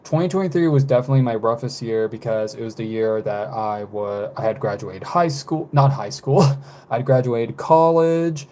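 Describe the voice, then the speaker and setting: A man in his twenties chatting casually with live viewers, rambling and hesitant, with many fillers, self-corrections and soft laughs. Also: mid-range voice, moderate pace, slight background noise. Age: 20s. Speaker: live streamer.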